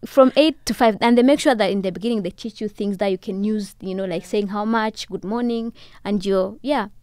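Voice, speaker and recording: A young woman from Rwanda, a podcast guest, telling her story in casual chat with soft laughs. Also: medium pitch, brisk, clean tone, no room sound. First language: Kinyarwanda. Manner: warm storytelling with soft laughs and many "you know"s. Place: podcast studio, indoors. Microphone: studio boom mic with headphones.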